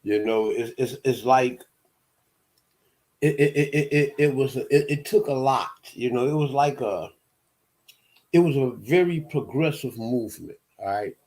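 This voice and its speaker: Slow, mellow-voiced man in his fifties. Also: mid-range voice. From Detroit, USA. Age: 50s. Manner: slow, halting, with many restarts and repeated words. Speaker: show host. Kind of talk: personal monologue.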